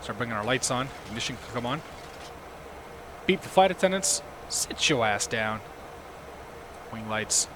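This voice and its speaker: Hoarse man talking through a flight. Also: mid-range voice, animated, measured pace, long pauses, noisy background. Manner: talks himself through cockpit steps, chats with viewers. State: losing his voice, hoarse. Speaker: livestream host. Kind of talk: casual commentary while flying a simulator.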